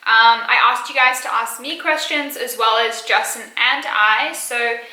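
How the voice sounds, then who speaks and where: A woman's voice: on the high side, a little slow, sharp tone, echoey room, low-quality recording. A young woman in her early twenties from South Africa with a mild Australian accent, chatting casually as she answers viewer questions, full of fillers and little giggles. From South Africa, raised partly in Australia. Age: early 20s. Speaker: beauty and lifestyle YouTuber.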